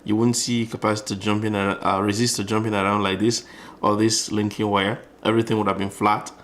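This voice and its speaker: Low-pitched man explaining a hands-on tutorial. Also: flat delivery, fairly quick, fairly bright tone, a little hiss or hum. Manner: explanatory, conversational, frequently rephrasing. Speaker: electronics tutorial presenter. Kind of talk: informal hands-on tutorial narration.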